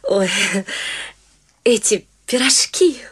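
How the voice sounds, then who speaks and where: A woman's voice: a little high, animated, drawn-out words, slightly rough, exaggerated voice. An actress playing a prim, proper middle-aged society mother who speaks politely, formally and with some hesitation in a radio play. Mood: courteous. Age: middle-aged (figure).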